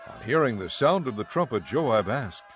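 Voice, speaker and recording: A man narrating scripture in a measured, formal storytelling manner for a dramatized audiobook. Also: medium pitch, very animated, slight background noise.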